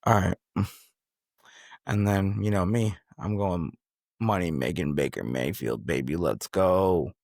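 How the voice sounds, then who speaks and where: A man's voice: sits low, slow, gravelly, darkish tone, dry recording, studio quality. A man hosting a podcast, chatting casually about sports in a slangy, bro-ish way. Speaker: podcast host.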